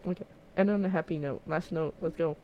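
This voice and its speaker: A man's voice: fairly high pitch, muffled recording, a little hiss or hum. A young man from the Philippines co-hosting a casual chat podcast, playful and teasing. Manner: playful, teasing, quick to laugh. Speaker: podcast co-host.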